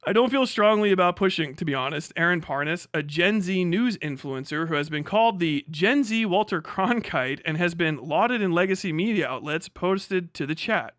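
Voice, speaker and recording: A man's voice: high voice, expressive, normal speed, hardly any pauses, fairly bright tone, dry recording, studio quality. An American man livestreaming a casual reaction, reading aloud and breaking off into incredulous, sweary asides. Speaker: livestream host.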